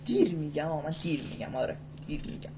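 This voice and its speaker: A woman with an Iranian Persian accent giving casual, rambling gameplay commentary as a video host, often repeating short phrases excitedly. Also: low voice. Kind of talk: casual gameplay commentary, talking to self and viewers. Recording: loud background noise and game ambience.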